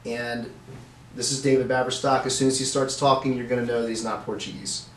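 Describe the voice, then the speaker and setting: A man's voice: medium pitch, steady tone, fairly quick. Chatty male webcast host, roomy sound. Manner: chatty, upbeat, many fillers. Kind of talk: live webcast hosting. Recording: roomy, faint noise.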